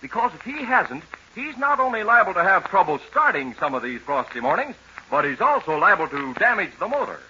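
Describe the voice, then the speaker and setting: An American man announcing and narrating a scripted 1940s radio serial, with emphatic, dramatic salesmanship pitched to youngsters. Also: very animated, fairly quick, sharp tone, slightly rough. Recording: dull old radio recording with faint noise.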